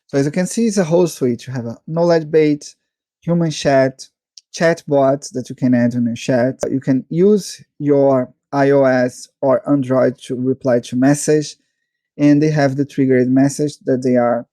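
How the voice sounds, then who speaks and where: A man with a medium-pitched, relaxed and mellow voice presents a casual screen-share review. He moves through features in a matter-of-fact, step-by-step way. The sound is close and dry, with very little room in it. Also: dry recording. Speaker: software reviewer, channel host.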